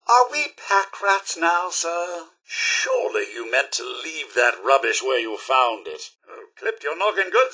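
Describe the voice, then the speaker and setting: An actor playing a fussy, loyal robot butler in a video game, with a British accent, a slightly raspy voice and a prim, polite, theatrical delivery. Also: male voice, high voice, sharp tone, heavily acted. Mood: concerned. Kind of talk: video game companion voice lines.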